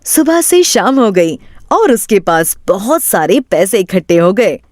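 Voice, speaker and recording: Slow-paced woman storyteller, noisy recording. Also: medium pitch, sing-song. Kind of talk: scripted moral-story narration.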